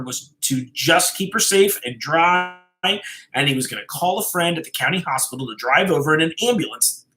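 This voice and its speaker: Bright-voiced man reading a suspenseful story aloud. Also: on the high side, poor audio. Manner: suspenseful, deliberate, with emphatic phrases and hushed endings. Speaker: storyteller, host of a live reading show.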